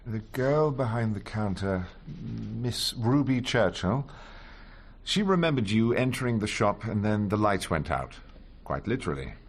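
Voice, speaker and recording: An actor playing a wry, shrewd English defence barrister in a radio drama, with dry, measured delivery. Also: male voice, on the low side, sing-song, full character voice. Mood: dry questioning. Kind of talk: radio drama acting and first-person narration.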